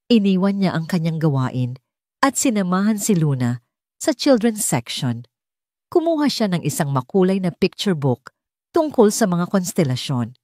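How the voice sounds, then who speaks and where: Woman reading a romance audiobook, lowish mellow voice, super dry close sound. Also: dry recording. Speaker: audiobook narrator. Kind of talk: scripted romance story narration.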